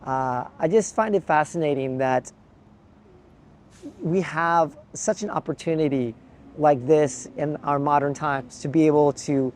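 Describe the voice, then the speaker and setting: Midwest guy talking to his pocket cam outside, kinda high mellow voice, thoughtful, lots of pauses and restarts. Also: slight background noise. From Midwest United States. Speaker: travel vlogger. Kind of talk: reflective vlog monologue to camera. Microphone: handheld pocket gimbal camera.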